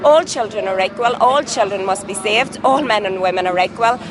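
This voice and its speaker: A woman's voice: mid-range voice, a little fast. A woman, an activist speaking emphatically in a news interview. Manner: impassioned, emphatic. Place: outdoors at a city rally. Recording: outdoor traffic noise.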